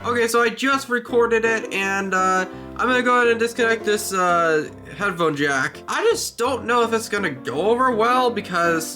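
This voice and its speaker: A man talking casually and hesitantly in a rambling, informal vlog while demonstrating something. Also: sits high. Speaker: video creator.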